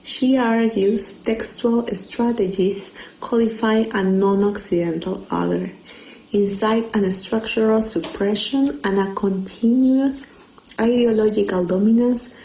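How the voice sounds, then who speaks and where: A woman's voice: medium pitch, steady tone, mellow. Woman reading an academic paper. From Peru. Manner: careful, measured reading from a script. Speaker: conference speaker. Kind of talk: reading a prepared academic talk. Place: indoor room at home. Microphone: webcam video call. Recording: echoey room with clear background noise.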